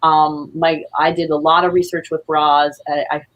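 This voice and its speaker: A woman's voice: sits low, flat delivery, moderate pace, fairly bright tone, muffled recording. A woman playwright talking casually as an interview guest, earnest and rambling in manner.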